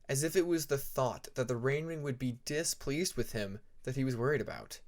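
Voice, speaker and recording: A man narrating scripted fiction as an audiobook reader, deliberate and with dramatic pauses. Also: mid-range voice.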